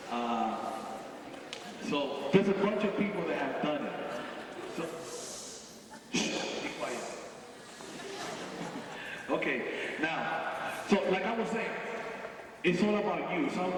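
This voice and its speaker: A man from Boston giving an earnest motivational speech to students at a school, slowing down and repeating his key lines. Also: on the high side. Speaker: guest speaker at a school. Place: school hall or gym. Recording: echoey hall, voice from far off.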